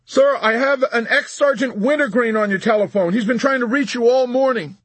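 A man's voice: very high voice, a little fast, fairly bright tone, breathy edge, no room sound, pitched above the performer's own voice, exaggerated voice. Audiobook sergeant, played by a man: deferential, pleading, tense raised voice. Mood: anxious. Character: nervous, urgent male army sergeant reporting to superiors.

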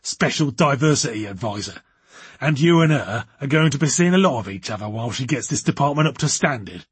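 A man voicing a loud, blustering, angry boss in an audiobook, brusque, sarcastic and humorless. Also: medium pitch, lively intonation, brisk, breathy edge, dry recording.

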